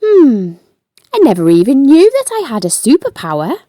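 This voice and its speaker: A woman's voice: high voice, huge pitch swings, normal speed, warm tone, dry recording, clean studio sound, pitched above the performer's own voice. A woman voicing an impulsive young boy ninja from a children's storybook, easily upset, hesitant and earnest. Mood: curious.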